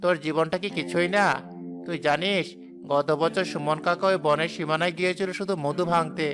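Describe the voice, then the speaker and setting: A man's voice: fairly high pitch, fairly even intonation, a little fast, music in the background, lightly acted. A man voicing a concerned young village friend in a cartoon drama, admonishing and urgent. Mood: urgent scolding.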